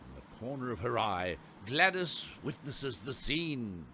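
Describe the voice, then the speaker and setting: A man narrating a radio play in a wry, theatrical storyteller's manner with deliberate emphasis. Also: medium pitch, very animated, background noise.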